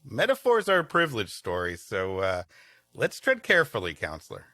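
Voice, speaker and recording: A man co-hosting a comedy podcast, riffing casually in an animated, mock-indignant way. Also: on the low side, brisk, dry recording, studio quality. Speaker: podcast co-host. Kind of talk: casual comic banter and riffing.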